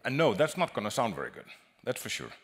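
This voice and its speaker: A man's voice: mid-range voice, huge pitch swings. Man presenting at a conference. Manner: halting, repeats words as he explains. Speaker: conference speaker, acoustic designer. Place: large conference hall on a stage.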